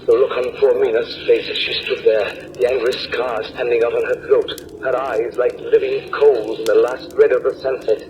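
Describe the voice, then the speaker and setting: A fervent vampire hunter played by a man speaks in a grave, declamatory way. The voice is middling in pitch, in old radio drama manner. The 1938 recording is dull, with clear background noise. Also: level tone, normal speed, few pauses, natural voice. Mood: grave, horrified. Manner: theatrical, declamatory, intense. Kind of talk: 1930s radio drama acting and dramatic narration.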